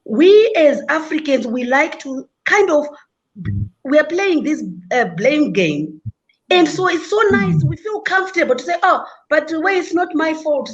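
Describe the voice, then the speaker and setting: A woman with a high voice makes her point on a panel in an earnest, deliberate way. The sound is a little dull and lo-fi. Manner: earnest, deliberate, self-critical. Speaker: panelist, education leader. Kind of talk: panel discussion.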